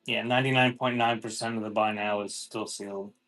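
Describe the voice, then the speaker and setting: A man's voice: fairly low pitch, fairly even intonation, brisk, fairly bright tone, faint music behind, poor audio. A man hosting a live online auction, announcing items in an informal, off-the-cuff sales patter. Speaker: host of a live online auction selling cassette tapes. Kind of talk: casual livestream sales patter.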